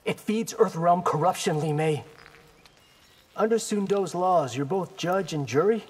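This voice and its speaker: An actor playing a young, wry, defiant fighter in a fighting game. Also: male voice, sits high, a little slow, breathy edge. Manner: sardonic, casual.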